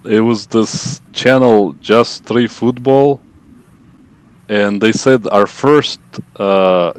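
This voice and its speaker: A man's voice: on the low side, drawn-out words, clean tone, poor audio. A man hosting a podcast, chatting loosely with lots of fillers and asking questions. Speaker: podcast host. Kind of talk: casual interview chat.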